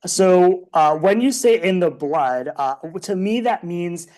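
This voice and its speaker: Woman hosting an interview, kinda low voice, calm friendly questions. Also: unhurried. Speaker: podcast host.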